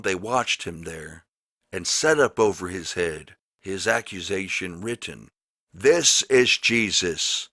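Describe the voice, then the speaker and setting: A man with a fairly low, forceful voice reads old scripture aloud. His delivery is solemn and emphatic, each phrase placed deliberately. The sound is bright and dry, close and free of room. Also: dry recording. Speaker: Bible narrator. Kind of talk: dramatic scripture read-aloud of the King James Bible.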